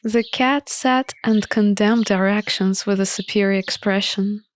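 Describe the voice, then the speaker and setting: A woman reading a visual novel aloud as an expressive narrator of a playthrough. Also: medium pitch, a little slow.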